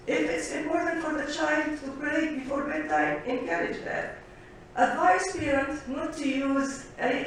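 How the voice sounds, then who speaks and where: A woman physician giving a conference presentation, halting, with many pauses and fillers as she works through lists. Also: a little high. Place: conference hall. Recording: echoey hall, distant mic, faint noise.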